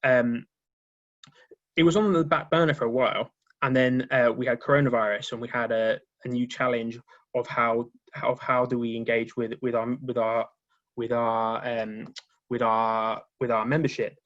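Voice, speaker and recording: A man, a union marketing officer, giving a presentation on a conference call in a halting way, with many restarts and repeated words. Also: mid-range voice, level tone, fairly bright tone, highs cut off. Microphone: remote call audio.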